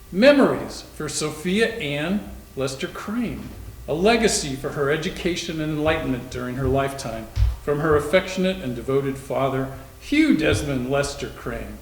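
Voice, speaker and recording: Readers theater: intrigued, eager young man, male performer, voice a bit high, roomy sound with light noise. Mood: excited. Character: excited, intrigued young man showing off a macabre find. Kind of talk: dramatic readers theater reading of a novel. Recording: echoey room with faint noise.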